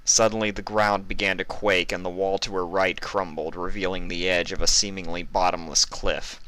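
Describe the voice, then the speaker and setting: A man's voice: low voice, little pitch movement, moderate pace, bright, forceful tone, rough recording. A man reading a story aloud as its narrator. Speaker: fan fiction reader and narrator.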